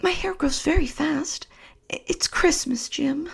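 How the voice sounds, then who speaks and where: A woman's voice: high voice, a little slow, creaky, pitched above the performer's own voice. A woman voicing an anxious, loving young wife who talks in a breathless, pleading way.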